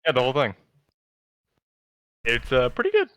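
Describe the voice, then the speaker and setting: A man's voice: mid-range voice, huge pitch swings, normal speed, a bit bright. A man co-hosting a podcast, chatting casually and telling stories in an enthusiastic, rambling way. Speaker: podcast co-host. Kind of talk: casual chat about anime.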